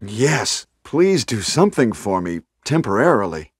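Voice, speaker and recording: An earnest young action hero in a 1990s horror game. His voice is husky and dry and swings widely in pitch, with stilted, melodramatic delivery. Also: very animated, a little slow, no room sound, heavily acted. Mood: calm, reassuring.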